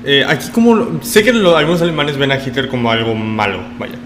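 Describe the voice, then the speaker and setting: A man's voice: medium pitch, sing-song, a little fast, background noise. A man hosting a casual interview, asking probing follow-up questions. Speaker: host.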